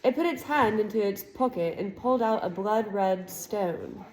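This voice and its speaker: A woman reading a chapter book aloud to a child, with expressive narration and relaxed chatty asides. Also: medium pitch, a little slow, poor audio. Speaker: storybook reader.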